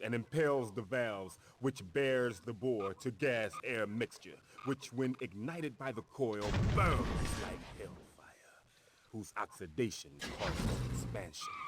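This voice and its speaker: A gruff vigilante driver from a video game cutscene. He is cool and deliberate, with a lowish pitch and very slow pacing. Heavy engine noise sits over the recording. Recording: game audio under loud engine noise.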